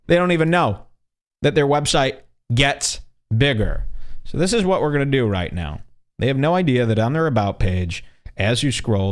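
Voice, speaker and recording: A man hosting a comedy radio show, setting up a prank call with mocking commentary and drawn-out emphasis on words. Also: on the high side.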